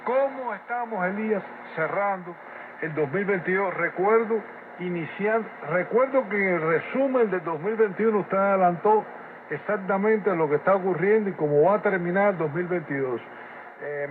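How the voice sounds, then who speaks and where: A man hosting a live radio interview with courteous, hesitant phrasing, heard over shortwave radio. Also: sits high. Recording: noisy shortwave radio capture, narrow phone-like band. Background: loud shortwave static and noise.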